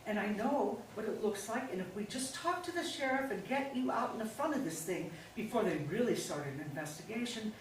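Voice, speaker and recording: Woman playing manipulative middle-aged wife, higher voice, coaxing, insistent, stage drama, distant mic with faint noise. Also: lively intonation, fairly quick, few pauses, very echoey, natural voice. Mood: pressing, coaxing. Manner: coaxing, pressuring, falsely sweet. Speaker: actor in a staged reading. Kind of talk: stage play reading. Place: indoor staged reading, mic far off.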